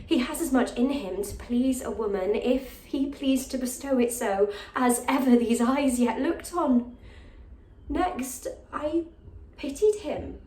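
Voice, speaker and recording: A woman's voice: high voice, slow pace, a little mellow, voice pushed up. A lovestruck young maid from classical theatre, acted by a woman, speaks in a raised, emotional voice full of exclamation. She is breathless and impulsive, in heightened Shakespearean verse style. The room around her is echoey. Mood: smitten, yearning. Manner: emotional, exclaiming, with gasps and sighs. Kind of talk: classical stage monologue, Shakespearean acting.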